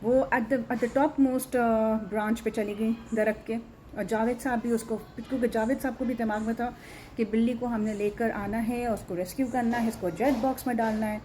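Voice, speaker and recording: Earnest Pakistani woman vlogger, mellow voice. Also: fairly high pitch, fairly even intonation, moderate pace. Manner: earnest, emphatic, repeats phrases, mixes in English words. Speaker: animal rescuer and vlogger. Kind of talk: casual vlog storytelling and advice to viewers. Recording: muted tone with background noise. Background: ambient background noise.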